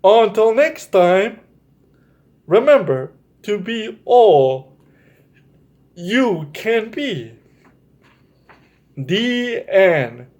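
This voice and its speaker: A man's voice: sits high, darkish tone. A man hosting a children's story time, reading aloud and explaining things to young listeners in an encouraging, direct way. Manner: encouraging, addresses listeners directly with check-in questions.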